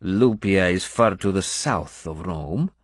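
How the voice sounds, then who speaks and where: A man's voice: deep voice, expressive, slow pace, breathy edge, no echo, studio quality, full character voice. A man voicing a defiant, dignified Italian woman with an Italian accent in an audiobook. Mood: proud. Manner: dignified, dismissive. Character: proud, defiant Italian woman, a queen's companion.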